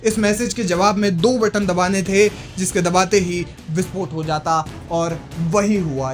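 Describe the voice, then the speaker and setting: A man presenting scripted news narration in an emphatic, explanatory way. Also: sits high. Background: background music.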